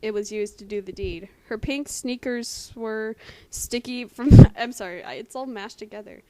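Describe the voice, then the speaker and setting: A woman's voice: fairly high pitch, moderate pace, warm tone. A giggly woman doing an amateur dramatic reading with casual commentary, often breaking into laughter. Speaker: co-reader and commentator. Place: at home in a house. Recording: noisy home recording with clicks.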